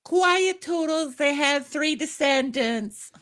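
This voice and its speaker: A high, feminine girl's voice put on by a man for a storybook reading. She speaks very slowly, drawing phrases out with prim, motherly patience and an admonishing edge. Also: pushed and bright, heavily acted. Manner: slow, drawn-out, admonishing delivery. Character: Wendy, young girl storyteller, motherly and prim.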